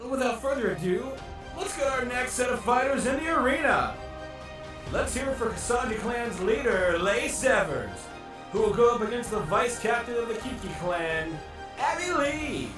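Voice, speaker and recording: A man playing a theatrical, squeamish arena announcer calling fights in an animated visual novel. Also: extremely high pitch, normal speed. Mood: showy. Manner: dramatic, showy. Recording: roomy, distant voice under loud music.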